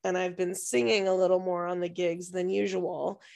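A woman co-hosting a podcast, hoarse and losing her voice, telling a story in a rambling, self-interrupting way. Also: lowish voice, level tone, normal speed, a little mellow. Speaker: podcast co-host and music teacher. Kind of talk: casual podcast chat.

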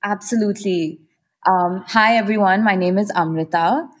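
A woman's voice: mid-range voice, moderate pace. A woman, answering as a guest in a conversational interview. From Mumbai, India. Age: 20s. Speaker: guest, art venture founder.

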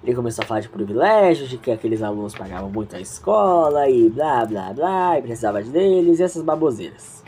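A woman acts out an indignant teenage schoolboy in an amateur audio drama. The voice sits low and is soft-edged and mellow, with a mocking, argumentative bite. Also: very animated, fairly quick, few pauses, slight background noise. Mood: aggrieved.

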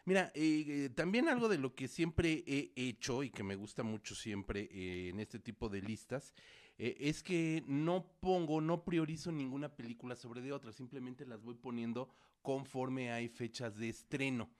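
A man's voice: medium pitch, normal speed. A man co-hosting a studio show, talking through films at length with many fillers and restarts. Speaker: co-host film critic. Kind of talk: casual film review chat. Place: studio with producer at console.

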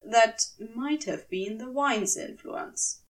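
A woman reading a scripted story aloud as an amateur audiobook narrator, with expressive delivery. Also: on the high side, slow pace, distant mic.

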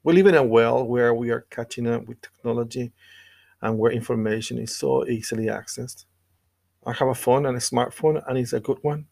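Guy preaching a short devotional, bit of fry in his voice, mid pitch, earnest and measured. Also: darkish tone. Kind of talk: scripted devotional sermon with scripture reading.

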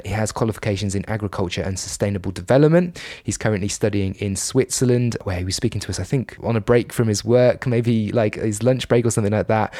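Male podcast host, chatty, fond of asides; lowish pitch, dry close-miked sound. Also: a little mellow, no room sound. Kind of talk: casual podcast talk.

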